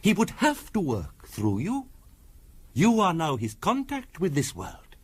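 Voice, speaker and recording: An actor playing an earnest, formal spiritualist medium in a 1970s radio drama, measured and insistent in delivery. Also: male voice, a little high, huge pitch swings, breathy edge, full character voice. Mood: pleading. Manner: measured, formal, insistent, sometimes hushed and hypnotic.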